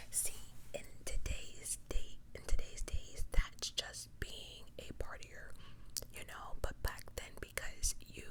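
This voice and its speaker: Fully whispered ASMR storyteller. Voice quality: soft unvoiced whisper. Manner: casual, slangy asides between read passages. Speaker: ASMR host.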